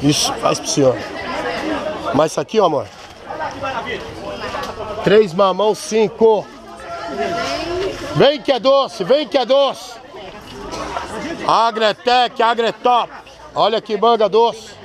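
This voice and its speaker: A fairly high-voiced Brazilian man chants his fruit prices over and over in a market-stall patter. He keeps repeating short slogans to passing shoppers. Loud crowd chatter fills the open-air market around him. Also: lively intonation, slow, pauses often. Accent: Brazilian Portuguese. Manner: chanting, repetitive sing-song hawking. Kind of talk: street market sales patter. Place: busy outdoor street market under awnings.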